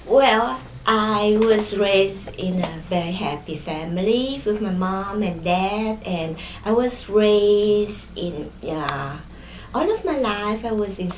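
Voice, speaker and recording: A middle-aged woman from Vietnam with a Vietnamese accent, recalling her childhood in an oral history interview, pausing often with fillers and restarts. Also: medium pitch, reverberant, slight background noise, rough recording. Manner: hesitant, many fillers and restarts.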